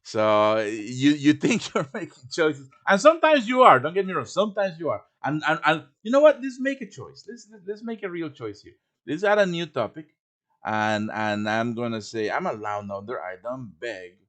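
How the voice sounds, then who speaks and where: A man's voice: on the high side, sing-song, moderate pace, fairly bright tone. A man with a chatty manner hosting a casual live tutorial, often checking in with his listeners as he teaches. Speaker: host teaching game quest design. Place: live stream over online voice chat.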